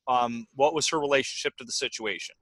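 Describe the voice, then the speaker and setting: Male co-host, bright and forceful tone; short interjections and follow-ups to a guest. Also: mid-range voice, quick pace. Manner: brief interjections and follow-up questions. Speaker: podcast co-host. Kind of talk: casual interview chat.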